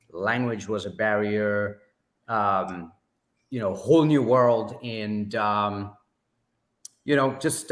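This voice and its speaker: A man, telling his story as a podcast guest in a measured way, pausing often with fillers. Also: on the low side, fairly bright tone. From Sri Lanka. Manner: measured, many pauses and fillers. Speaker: podcast guest, business owner. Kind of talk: casual interview storytelling. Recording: echoey room.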